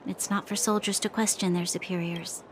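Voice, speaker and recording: An actress playing an earnest, dutiful young female officer in a video game, speaking in a measured, formal, reflective way. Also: mid-range voice, fairly even intonation, slight background noise, lightly acted. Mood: questioning. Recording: faint music underneath.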